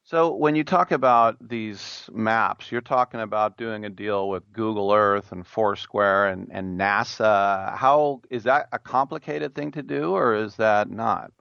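A man's voice: fairly low pitch, measured pace, gravelly, hardly any pauses, a bit bright, clean tone, dry recording, muffled recording. A man interviewing a guest, asking questions in an easygoing, chatty way.

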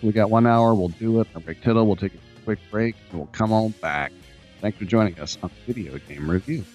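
A man hosting a live radio talk show, chatty and rambling, with a raspy voice today. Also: on the low side, brisk, darkish tone, dull audio, soft background music.

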